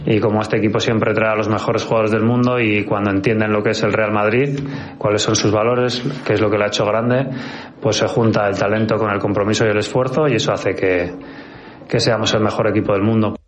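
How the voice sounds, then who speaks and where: A man, a football coach giving a measured, earnest press conference statement. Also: on the low side, little pitch movement.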